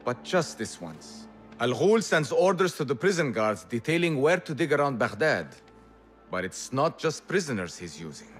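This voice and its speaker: Actor as a flamboyant, animated rebel leader. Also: male voice, medium pitch, normal speed, soft background music, natural voice. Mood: impatient, wry. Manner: grandiloquent, theatrical. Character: flamboyant proud male rebel leader, theatrical and eager. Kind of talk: video game cutscene acting.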